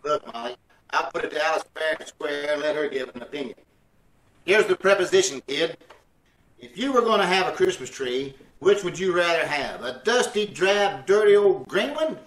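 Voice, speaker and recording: A man acts out an indignant, scheming husband from an old radio comedy. His bright, sharp voice blusters and exclaims, tripping over words. It sounds roomy and distant, as on a home video call. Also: on the high side, animated, moderate pace. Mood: argumentative.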